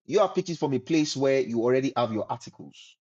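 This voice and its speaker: A man giving casual, relaxed advice on a live stream and repeating phrases for emphasis. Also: mid-range voice, fast talker, highs cut off. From Nigeria. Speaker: host answering research questions.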